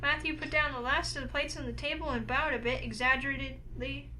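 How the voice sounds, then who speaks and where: A woman's voice: high-pitched, fairly even intonation, brisk, pushed and bright, slight background noise, poor audio. A woman reading a visual novel aloud, narrating and acting out the heroine's lines. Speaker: game player and narrator.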